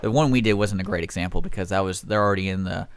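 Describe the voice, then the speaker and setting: A man's voice: low-pitched, rapid-fire, clean tone. A man co-hosting a radio show, bantering casually with a wry, teasing manner. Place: community radio studio.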